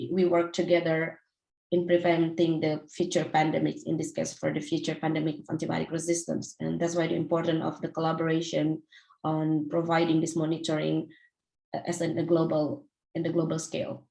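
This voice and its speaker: Woman from Indonesia, lowish voice, gravelly, informal talk, halting, echoey room. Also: completely flat delivery, dark tone. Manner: halting, restarts phrases, fillers like "like" and "you know". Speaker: company founder and CEO giving a talk. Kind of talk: informal presentation.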